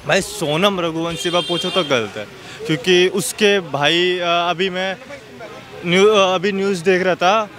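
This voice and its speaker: A man casually giving his opinion in a street interview, halting and circling back over his phrases. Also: high voice, a crowd in the background.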